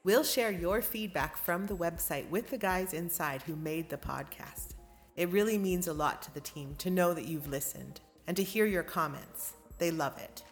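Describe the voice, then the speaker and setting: A woman's voice: on the low side, normal speed, soft background music. A woman presenting a theatre podcast's credits, reading scripted thanks and announcements.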